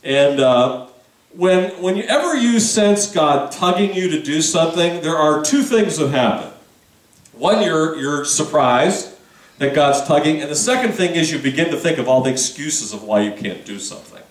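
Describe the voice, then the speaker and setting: Lively high-voiced male preacher in an echoey church. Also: moderate pace, far from the mic. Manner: conversational, chatty preaching with asides and audience questions. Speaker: pastor. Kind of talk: sermon. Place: church sanctuary.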